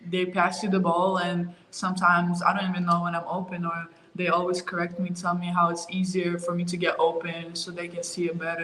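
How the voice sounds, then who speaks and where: A woman's voice: fairly low pitch, slight background noise. Young woman in an echoey room. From Ukraine. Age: young adult. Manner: relaxed, easygoing, many fillers. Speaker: college basketball player. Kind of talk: casual interview answers.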